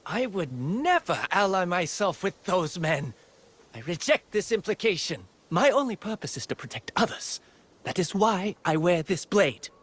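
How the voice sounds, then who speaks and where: An actor playing a proud, defensive young sword fighter in a video game, earnest, intense and guarded. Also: male voice, mid-range voice, lively intonation, measured pace. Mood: indignant. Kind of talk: video game cutscene acting.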